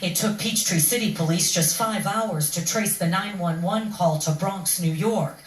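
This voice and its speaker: A woman's voice: on the low side, a little slow. A woman working as a television field reporter, delivering a live broadcast news report. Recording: roomy, distant, clearly noisy broadcast audio.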